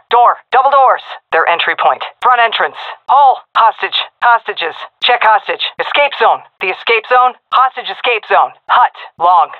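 An actress playing a tough, wisecracking female Navy SEAL commander in a video game, with brisk, cocky military callouts over a radio filter. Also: mid-range voice, no room sound, phone-quality sound. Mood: matter-of-fact. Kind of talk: short tactical shooter voice lines.

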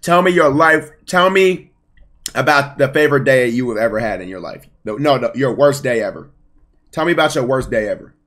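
A man's voice: mid-range voice, expressive, a little fast. Young male streamer host, rowdy and teasing, headset mic in a home room. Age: young adult. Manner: loud, joking, teasing, uses slang. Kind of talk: casual game show hosting on stream.

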